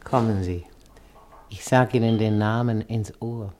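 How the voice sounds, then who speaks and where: A woman's voice: rumbling low, steady tone, unhurried, mellow, slight background noise. A woman playing a worldly, down-on-her-luck tavern singer with a saucy, familiar manner in a German radio play. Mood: sly.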